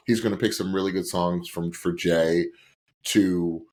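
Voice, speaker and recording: A man with a low-pitched voice talks as a podcast co-host. He reacts in loose, casual commentary, gushing and doubling up words to stress his points. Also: fairly even intonation, unhurried, a little mellow. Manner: gushing, repeats words for emphasis.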